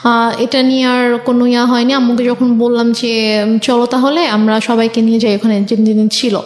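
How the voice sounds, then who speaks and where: A woman's voice: on the high side, flat delivery, a little fast. A woman with a Bangladeshi accent telling her own life story as a guest, conversationally, quoting what people said. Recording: echoey, reverberant room.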